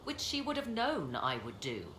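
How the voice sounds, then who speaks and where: A woman voicing a proud, guarded sorceress in a video game, with formal, deliberate, theatrical phrasing. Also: fairly high pitch, huge pitch swings. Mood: resigned, bitter. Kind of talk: dramatic video game dialogue. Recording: echoey, noisy game scene capture.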